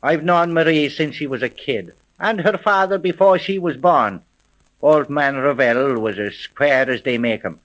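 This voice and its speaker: Veteran police sergeant, 1930s radio mystery. Wary, blunt, slangy, slightly high male voice. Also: a little slow, fairly bright tone, rough recording. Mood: suspicious, wary. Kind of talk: 1930s radio serial acting.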